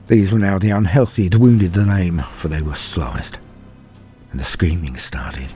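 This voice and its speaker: A low, husky soldier, acted by a male storyteller recounts a sci-fi war horror. He sounds haunted, weary and afraid, with grave, theatrical phrasing over faint music. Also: a little mellow, full character voice. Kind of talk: dramatic scripted horror storytelling.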